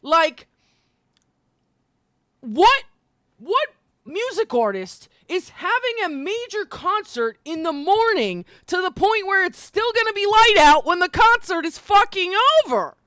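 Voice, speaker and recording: A woman's voice: very high-pitched, big pitch swings, a little slow, fairly bright tone, no room sound, studio quality. A woman chatting casually on a livestream, informal, opinionated and slangy in an emphatic way. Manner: informal, slangy, frequent swearing. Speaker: live streamer.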